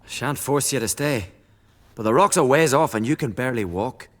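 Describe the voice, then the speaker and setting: A man's voice: medium pitch, animated, normal speed, natural voice. A man playing an earnest, bashful young island villager in a video game. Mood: shy, helpful. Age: young adult. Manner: rustic dialect, flustered and eager. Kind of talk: dramatic video game acting.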